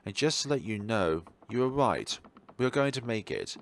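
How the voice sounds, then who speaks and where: Man acting out an anxious, gravelly male student. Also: medium pitch, sing-song, normal speed, a little hiss or hum. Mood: earnest, apologetic. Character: anxious, remorseful male student searching for his friend. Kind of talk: visual novel read aloud dramatically.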